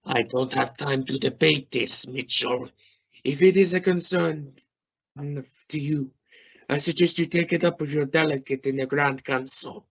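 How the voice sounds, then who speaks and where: A man's voice: on the high side, vocal fry, husky, full character voice. Dull-sounding, brusque scientist, acted by a man. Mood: curt, firm. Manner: formal, measured, slightly halting phrasing. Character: brusque male Russian space scientist and mission captain. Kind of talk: scripted radio play interview. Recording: dull lo-fi recording.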